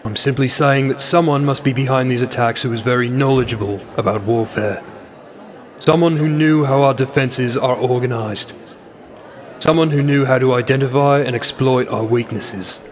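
Measured, curt military marshal played by a man. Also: medium pitch, lightly acted. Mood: firm, curt. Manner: measured, formal, deflecting. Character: evasive, curt military marshal facing the press. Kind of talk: science fiction audio drama acting.

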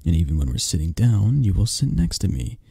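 Man acting a dark, gravelly, possessive mafia boss boyfriend. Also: deep voice, sing-song, no echo, exaggerated voice. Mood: possessive, firm. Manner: unhurried, commanding, coaxing, close and soft. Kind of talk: intimate ASMR romance roleplay.

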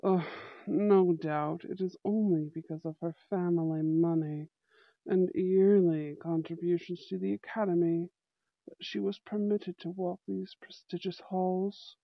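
ASMR roleplay role: aloof young male prince played by a woman, measured, formal, commanding; dry, muffled sound. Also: fairly low pitch, steady tone, creaky, no room sound, pitched below the performer's own voice. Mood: disdainful. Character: young male bad-boy school prince, protective, aloof. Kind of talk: amateur ASMR audio roleplay acting.

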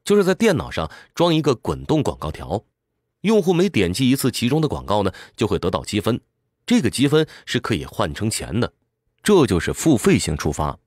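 A man's voice: on the low side, huge pitch swings, a little fast, darkish tone, no room sound, studio quality. A man narrates a scripted explainer in a didactic way, posing questions and answering them. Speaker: audiobook summary narrator.

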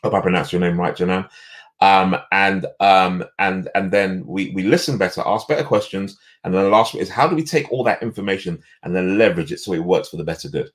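A middle-aged man with a deep, resonant voice, talking emphatically as a guest on a video call from a home office. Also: a little fast. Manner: animated, emphatic storyteller. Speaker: guest, leadership coach.

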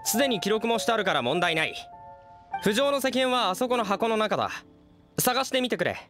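Bright, businesslike warehouse keeper. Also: male voice, sits high, big pitch swings, a little fast, faint music behind. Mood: matter-of-fact. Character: helpful, businesslike male dockside warehouse keeper. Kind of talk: anime game voice acting.

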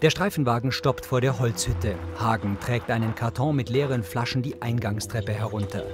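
A man's voice: medium pitch, normal speed, loud music behind the voice. A man reading scripted audio description of a drama as its narrator.

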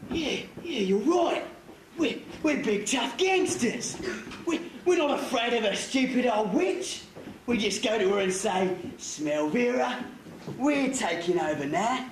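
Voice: mid-range voice, lively intonation, unhurried, close to an everyday voice. An actor playing a blustering, cowardly gangster henchman in a stage pantomime, recorded in a noisy, echoey hall. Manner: blustering, bickering. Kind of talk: amateur stage pantomime comedy.

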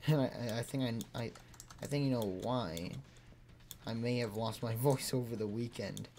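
A young man streaming a game from home, with a voice he says is lost, talking slowly to his chat. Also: medium pitch, dark tone, a little hiss or hum. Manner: slow, mumbling, talks to chat between plays. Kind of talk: casual gaming livestream chat. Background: game music and keyboard clicks.

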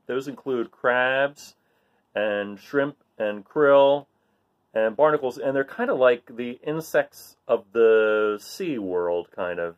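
A middle-aged man hosting a storytime for children, explaining things and addressing the young listeners directly. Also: mid-range voice. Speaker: storytime host. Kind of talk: read-aloud talk to children. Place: bright living room, indoors.

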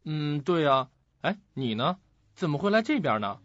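A man's voice: a little high, big pitch swings, normal speed, a bit bright, no room sound. An actor playing an awkward, friendly young male student in a Chinese radio drama. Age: young adult. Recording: dull lo-fi recording.

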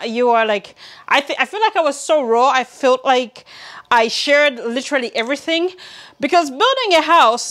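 A woman from Uganda in her thirties sits in her big walk-in closet and talks straight to the camera. Her voice sits a little high, and she gestures a lot as she speaks. She is chatty and loose, full of likes and restarts. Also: fairly bright tone. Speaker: vlogger. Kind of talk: casual talk to camera. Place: large walk-in closet at home, indoors. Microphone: seated, medium framing to camera.

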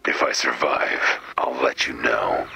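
A mysterious, vengeful vigilante from a video game. The voice is rock-bottom deep and crackles with gravel, measured and slow. He is terse, grim and melodramatic, growling in a near whisper. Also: sharp tone, soft background music, exaggerated voice. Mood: grim resolve. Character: brooding, vengeful, mysterious cloaked male vigilante antihero. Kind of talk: video game character dialogue.

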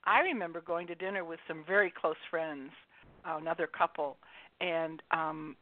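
A woman's voice: sits low, normal speed. A woman author, a radio guest, telling stories in casual chat and laughing at herself. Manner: chatty storyteller, laughs at herself. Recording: dry, tinny phone line.

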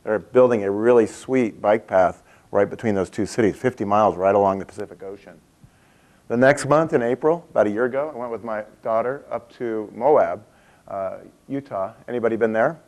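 A man's voice: on the low side, brisk, darkish tone. Man in his fifties, conference keynote, chatty and joking, quizzes the crowd, large meeting room. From Montana. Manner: chatty, asks the audience questions, laughs at his own stories. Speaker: keynote speaker.

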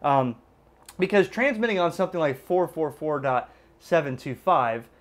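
A man's voice: a little high, expressive. American man casually explaining a gadget. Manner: halting, explanatory, thinking aloud. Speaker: ham radio gadget reviewer. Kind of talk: casual unscripted product demonstration. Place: indoors at a desk in a room.

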